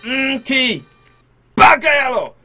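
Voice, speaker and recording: A man's voice: extremely high pitch, lively intonation, exaggerated voice. An actor playing a bullying, arrogant colonial policeman in a radio drama, haughty and drawling, barking his orders. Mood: menacing. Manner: haughty, drawn-out, barking commands.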